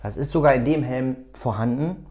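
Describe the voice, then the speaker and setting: A man hosting a hands-on tutorial, explaining step by step in a halting, unscripted way as he works. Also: medium pitch, darkish tone, a little hiss or hum. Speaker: tutorial host. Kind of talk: hands-on tutorial, talking while working.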